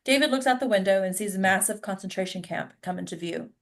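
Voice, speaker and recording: A woman's voice: mid-range voice, fast talker, creaky. Woman plainly reading stage directions. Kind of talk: scripted narration at a table read.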